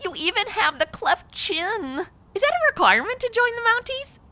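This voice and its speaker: A woman's voice: very high-pitched, very animated, moderate pace, very noisy. A woman hosting and narrating a comedy audio drama in a cheeky, sarcastic, conversational manner. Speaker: comedy podcast host and narrator.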